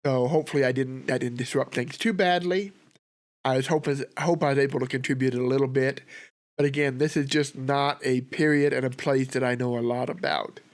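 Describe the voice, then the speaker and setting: A man with a sore throat from a cold, hosting a history reaction with relaxed, rambling commentary. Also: medium pitch, fairly quick. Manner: relaxed, rambling, thinks aloud with fillers. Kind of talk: casual commentary.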